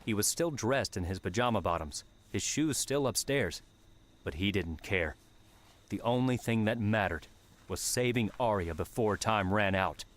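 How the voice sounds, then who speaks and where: Low-voiced man narrating an audiobook. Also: expressive, moderate pace. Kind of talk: dramatic scripted narration.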